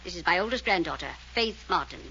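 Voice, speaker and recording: An actress playing an imperious, haughty old American grandmother in a radio serial, commanding, sharp-tongued and quick to take offence. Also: lowish voice, expressive. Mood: stern. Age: older. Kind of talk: 1940s radio serial acting. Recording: noisy vintage radio serial recording.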